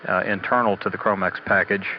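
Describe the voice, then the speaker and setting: A man giving a halting, matter-of-fact technical status briefing as a spaceflight mission commentator, on old radio audio. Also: low voice, steady tone. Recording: dull, noisy 1980s mission radio audio.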